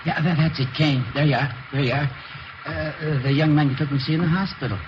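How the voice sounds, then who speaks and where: An actor playing a nervous, evasive husband under suspicion in a 1950s radio drama, stammering and repeating himself. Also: male voice, fairly high pitch, steady tone, fast talker, lightly acted. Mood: hesitant. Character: nervous husband, murder suspect, evasive and increasingly frantic. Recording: dull noisy vintage radio recording.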